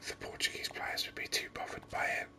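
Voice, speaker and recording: A person hosting a gaming video in soft, unhurried ASMR whispers, musing over the game as they go, close to the microphone.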